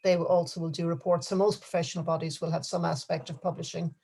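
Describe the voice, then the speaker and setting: A host leading a career conversation, speaking in a halting way with many fillers. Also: medium pitch, little pitch movement, gravelly, dark tone, slightly rough. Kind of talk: hosting a career talk.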